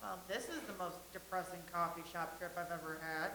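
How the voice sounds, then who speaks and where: An actress playing a dramatic, self-absorbed young actress in a staged comedy reading. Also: female voice, on the low side, steady tone, brisk, bright, forceful tone. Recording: echoey room with faint hiss.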